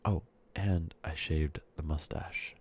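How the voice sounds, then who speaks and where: A man with a cold softly speaking and whispering in a rambling ASMR video, sounding congested and short of breath. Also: a bass voice, steady tone, slow pace, a little hiss or hum. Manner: soft speaking drifting into whispers, rambling with fillers. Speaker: ASMR creator. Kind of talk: soft-spoken ASMR candy-making ramble.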